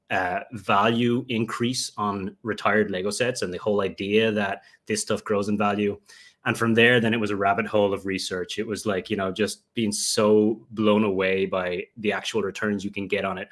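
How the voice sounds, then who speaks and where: A young man with an Irish accent talks through his answers in a lowish voice. He rambles and circles back, filling gaps with "you know" and "um" as he restarts his sentences. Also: fairly bright tone. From Ireland. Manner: rambling, many fillers and restarts. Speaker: guest, Lego investor. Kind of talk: remote interview answers.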